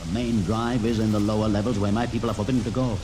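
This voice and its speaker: An actor playing a grave, bitter mutant outcast leader in old-time radio drama, with solemn and deliberate theatrical delivery. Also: male voice, fairly low pitch, steady tone, noisy background, lightly acted. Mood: bitter, earnest. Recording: old radio broadcast with music over it.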